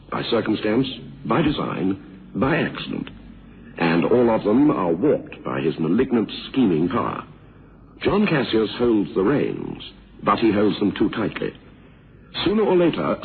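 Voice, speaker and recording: A man doing measured, dramatic scripted announcing for a radio drama series in a portentous manner. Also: on the low side, slight background noise. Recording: tinny, phone-like old radio recording. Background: music.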